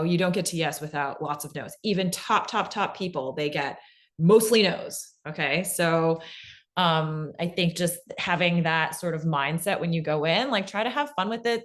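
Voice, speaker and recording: Encouraging woman presenter, slightly low voice. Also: moderate pace. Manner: encouraging, peppers talk with "like" and "right?". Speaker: presenter answering audience questions. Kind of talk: informal workshop talk with Q&A.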